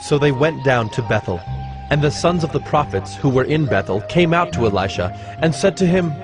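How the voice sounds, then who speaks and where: Measured male narrator over music. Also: mid-range voice, animated. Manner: measured, formal. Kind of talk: scripted scripture narration.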